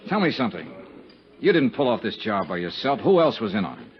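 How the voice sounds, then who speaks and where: An actor playing a tough, wry insurance investigator in a 1950s radio drama, American accent, blunt and sardonic. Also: male voice, lowish voice, very animated, fast. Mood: cool, probing. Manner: hard-boiled, sardonic, blunt. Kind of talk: 1950s radio detective acting and first-person narration. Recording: muffled 1950s radio recording, dramatic music behind.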